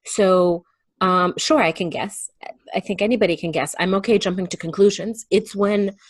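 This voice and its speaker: A woman speaking as an interview guest in a reflective, casual discussion, thinking aloud in a halting way. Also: mid-range voice, steady tone, husky, no room sound.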